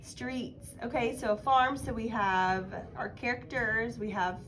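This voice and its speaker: Higher-voiced woman teacher, encouraging. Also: unhurried, fairly bright tone, a little hiss or hum, poor audio. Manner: encouraging, explains by prompting with questions. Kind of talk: one-on-one writing lesson, casual and guiding.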